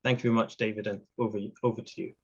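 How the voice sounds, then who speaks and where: A man hosting a launch event, reading measured prepared remarks and introducing the speakers. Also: lowish voice, level tone, vocal fry, darkish tone, breathy edge, highs cut off. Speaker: event host. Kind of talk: formal prepared speech.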